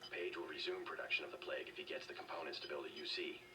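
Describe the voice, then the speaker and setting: A man playing a stoic young cyborg government agent in a video game, with deadpan, clipped delivery. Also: a bass voice, racing. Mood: flat resolve. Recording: noisy, distant-sounding game capture.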